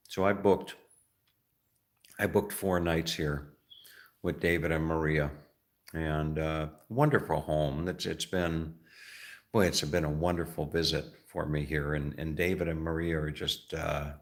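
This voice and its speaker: A middle-aged man from the United States talking casually as a travel vlogger, rambling and halting with many fillers and restarts. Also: very deep voice. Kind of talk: casual vlog talk.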